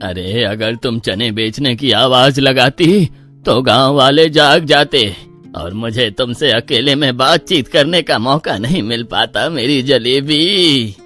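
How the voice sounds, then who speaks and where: An actor playing an opinionated, lecherous village uncle in a cartoon story, with an emphatic manner. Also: male voice, medium pitch, slow pace, bright, forceful tone, soft background music. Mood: flirtatious. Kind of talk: Hindi cartoon horror story.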